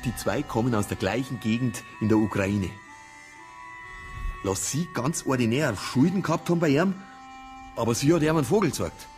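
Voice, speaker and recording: A man's voice: medium pitch, normal speed, long pauses, a little mellow, soft background music, close to an everyday voice. An actor playing an impatient, blunt Bavarian police detective, a grumbling man, in a naturalistic radio drama.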